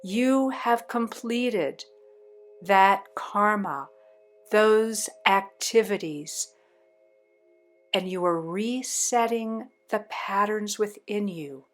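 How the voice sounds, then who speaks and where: A woman leading a guided meditation in a soothing, deliberate way with long pauses between phrases. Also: mid-range voice, soft background music.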